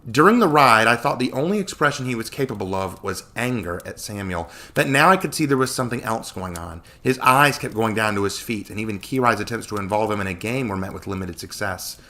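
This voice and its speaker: A man narrates a story with dramatic flair. His pitch moves widely and his tone is bright and forceful. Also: lively intonation, fairly quick, few pauses. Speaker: story reader. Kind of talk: dramatic audiobook-style narration.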